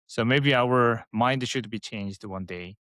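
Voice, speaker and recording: Korean-accented host, dry close sound. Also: male voice, medium pitch, fairly bright tone, no echo. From South Korea. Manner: thoughtful, halting, laughs lightly. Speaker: podcast host. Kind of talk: casual interview chat.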